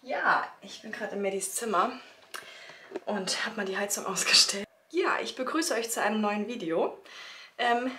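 A woman in her thirties with a slightly hoarse voice from a cold, chatting casually to viewers about her day at home while filming herself with a phone held at arm's length. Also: mid-range voice, normal speed, pushed and bright. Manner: chatty, talks straight to viewers with many fillers. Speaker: family vlogger, mother of three. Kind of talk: casual vlog chat to camera. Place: child's bedroom and rooms at home, indoors. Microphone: phone camera held at arm's length.

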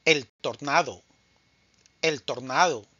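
A man teaching Spanish vocabulary in a scripted drill, speaking slowly and deliberately and saying each answer twice. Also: mid-range voice, bright, forceful tone, no echo, muffled recording.

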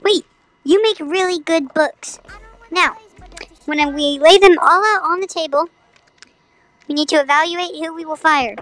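A woman's voice: very high-pitched, unhurried, long pauses, a bit bright, soft background music. A woman streaming a video game with chatty, playful, mock-dramatic commentary. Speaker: gaming streamer. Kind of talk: casual livestream gameplay commentary.

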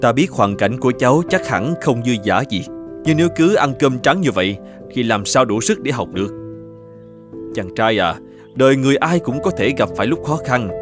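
A man voicing a kindly older eatery owner in a cartoon, calm and reassuring in manner. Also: mid-range voice, normal speed, over music, lightly acted. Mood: gentle, reassuring. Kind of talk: animated moral-tale dubbing.

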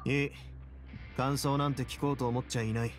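A man playing a cool, brooding young fortress warden in a game, speaking in a measured, grave, self-possessed way. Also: mid-range voice, little pitch movement, faint music behind, close to an everyday voice. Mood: resolute, somber. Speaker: game character. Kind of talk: dramatic game dialogue.

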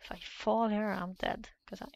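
A woman's voice: mid-range voice, fairly even intonation, normal speed, vocal fry, a little mellow, no room sound. A Norwegian woman with a Norwegian accent, hesitantly commentating her own Minecraft play in a casual, nervous way. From Norway. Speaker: Minecraft let's player.

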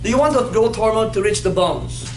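High-voiced guy in his 50s teaching anatomy, playful, echoey classroom, far off camera. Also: a little fast. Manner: animated, playful, asks the class questions. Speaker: anatomy instructor. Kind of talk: classroom lecture with call-and-response. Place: classroom in front of a whiteboard. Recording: echoey classroom with background noise.

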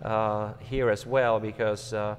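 A man's voice: fairly low pitch, level tone, slow pace, smooth. Slovak man, 40s, guest interview, hesitant and thoughtful, hall echo on a lapel mic. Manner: thoughtful, many hesitations and fillers. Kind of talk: conversational interview. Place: empty hall with a stage.

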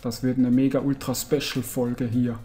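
Man, Austrian dialect, Carinthian-Viennese mix, dark relaxed voice, let's play commentary, faint game music. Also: medium pitch, moderate pace. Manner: relaxed, grumbles and mutters at the game. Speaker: let's player. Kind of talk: casual gaming commentary.

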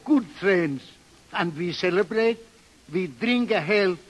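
Slow, high-voiced old seaman. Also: lively intonation, heavily acted. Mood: fond, merry. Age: older. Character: simple, affectionate old Swedish seaman father. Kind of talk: 1930s radio acting. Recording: vintage 1930s radio broadcast.

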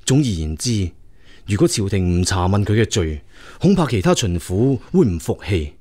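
A man's voice: fairly low pitch, sing-song, normal speed, warm tone. A man playing a loyal prince, the emperor's younger brother, advising him earnestly and deferentially in a radio drama. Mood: indignant. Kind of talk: Cantonese radio drama acting.

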